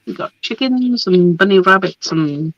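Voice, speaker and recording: A woman's voice: mid-range voice, animated, measured pace, fairly bright tone, dry recording, muffled recording. A woman getting a cold, chatting casually as she shows off craft papers, laughing often and trailing off with ums. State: getting a cold, losing her voice. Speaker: host showing craft papers. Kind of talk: casual product show-and-tell.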